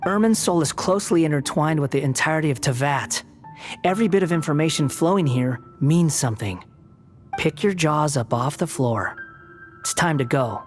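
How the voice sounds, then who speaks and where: A man's voice: on the high side, lively intonation, normal speed, faint music behind, lightly acted. A man voicing a sardonic young male wanderer in a video game, speaking in a dry, mocking, condescending way.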